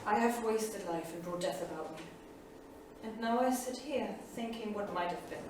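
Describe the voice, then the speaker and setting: An actress playing a sorrowful, proud saga heroine and storyteller in a staged reading, solemn, measured and poetic. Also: female voice, medium pitch, natural voice. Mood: regretful. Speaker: actor. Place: small theatre studio. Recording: distant, echoey theatre recording, faint noise.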